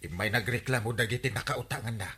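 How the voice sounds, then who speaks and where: A man playing an excitable village busybody in a comedy radio drama, exclamatory, with an Ilocano accent. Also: medium pitch, fast talker, slightly rough. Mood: secretive, concerned. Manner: exclamatory, comic, swooping.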